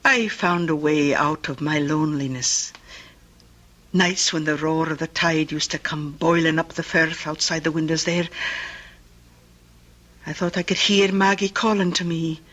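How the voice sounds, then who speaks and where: Wistful old Scottish aunt, bright-voiced. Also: female voice, low-pitched, steady tone, a little slow. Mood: wistful, regretful. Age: older. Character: fond, lonely old Scottish aunt who held seances. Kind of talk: radio drama acting.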